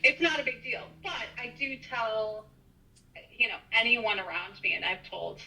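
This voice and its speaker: A woman's voice: fairly high pitch, animated, normal speed, gravelly, bright, forceful tone, breathy edge. Chatty young woman, frank and profane. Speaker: podcast guest. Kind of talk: candid casual interview talk. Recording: dull lo-fi audio.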